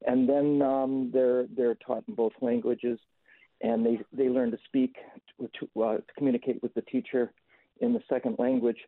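A man calling in to a radio show, speaking haltingly and repeating words as he goes. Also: medium pitch, level tone, no room sound. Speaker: caller. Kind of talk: phoned-in comment. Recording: tinny phone line.

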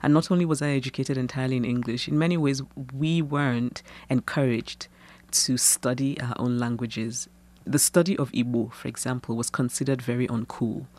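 A Nigerian woman novelist speaks in a measured, thoughtful voice. She reads her own fiction aloud and talks through questions with an easy laugh. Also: extremely low pitch, steady tone, darkish tone. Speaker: novelist, guest. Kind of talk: reading her fiction aloud, then interview answers.

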